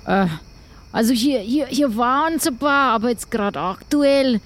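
A woman's voice: fairly high pitch, a little slow, natural voice. A woman playing a busy, flustered island doctor in an improvised audio adventure. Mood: harried.

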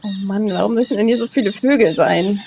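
A woman's voice: mid-range voice, a little fast, vocal fry, a little mellow, slight background noise. A woman in casual role-play as a game player, thinking aloud a little tentatively as she decides what to do.

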